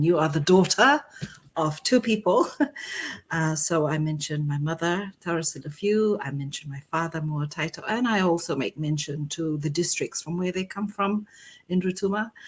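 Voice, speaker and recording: A middle-aged woman from Fiji, a poet, talking as a podcast guest with frequent laughs and thoughtful pauses. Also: sits low. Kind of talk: conversational interview.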